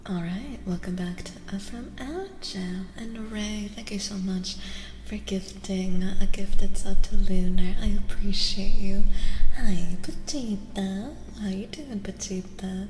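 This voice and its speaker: A woman speaking softly in a casual ASMR style as a streamer giving a tarot reading, gentle and unhurried, often dropping into whispers. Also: mid-range voice, slight background noise. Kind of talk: soft-spoken ASMR, casual live chat and reading. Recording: echoey reverb with soft music.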